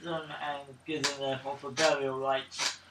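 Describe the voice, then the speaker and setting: A man's voice: medium pitch, little pitch movement, measured pace. A man playing in a tabletop role-playing game, speaking in character. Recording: noisy, roomy, far-off tabletop recording.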